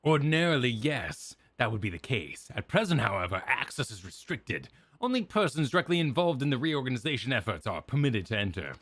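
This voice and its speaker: A man reading the lines of a stiff, apologetic male custodian in a slow, formal manner. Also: mid-range voice, sing-song, fairly bright tone. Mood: stiffly formal.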